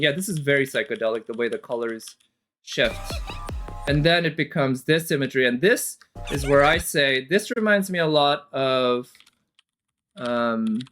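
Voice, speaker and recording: A man's voice: mid-range voice, fairly bright tone. A man giving casual, off-the-cuff reaction commentary as a streamer, thinking aloud analytically with casual swearing.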